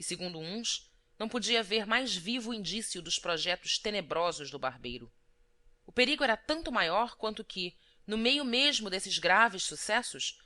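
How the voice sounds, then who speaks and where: Bright-voiced woman narrating an audiobook. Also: mid-range voice. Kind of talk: scripted literary narration.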